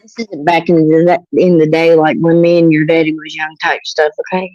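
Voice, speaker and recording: A woman's voice: low-pitched, little pitch movement, moderate pace, darkish tone, muffled recording. Rambling woman in her thirties. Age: 30s. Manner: rambling, joking storyteller about her kids. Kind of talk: casual chat.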